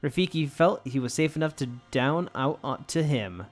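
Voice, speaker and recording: A man's voice: a little high, expressive, moderate pace, faint music behind. A young man reading a comic story aloud as narrator, in a casual way among friends.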